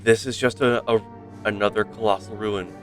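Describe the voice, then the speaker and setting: A man's voice: lowish voice, steady tone, normal speed, faint music behind. A man giving commentary on a game livestream and reading on-screen text aloud.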